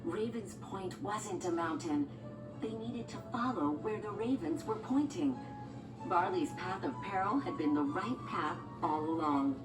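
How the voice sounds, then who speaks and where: A woman's voice: mid-range voice, animated, measured pace. A woman reading a scripted children's storybook as narrator. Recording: echoey, distant, music behind.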